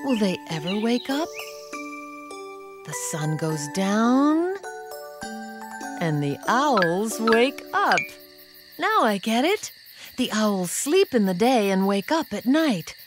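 Slow, sing-song woman narrating for toddlers. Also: mid-range voice, very animated. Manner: gentle, patient, sing-song questions to toddlers. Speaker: narrator of a children's alphabet video. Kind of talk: scripted children's educational narration. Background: children's background music.

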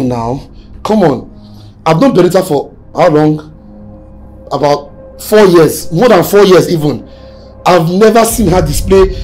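A man's voice: on the high side, darkish tone. An actor playing an agitated, protective boyfriend in film dialogue, insistent and repeating himself as he pleads. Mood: stunned disbelief. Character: adult boyfriend, agitated, protective, then disbelieving and placating.

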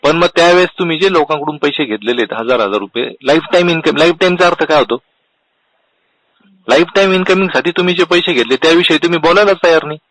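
A man's voice: on the high side, fast talker, fairly bright tone, poor audio. A man making a phone complaint, confrontational and insistent, pressing with repeated questions. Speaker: customer calling a complaint line. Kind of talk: argumentative complaint phone call.

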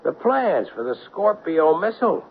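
An actor playing a tough, wry ex-police sergeant in a radio drama, sardonic and probing. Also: male voice, fairly high pitch, big pitch swings, normal speed. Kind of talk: 1970s radio drama acting. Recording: dull lo-fi 1970s radio broadcast, hissy.

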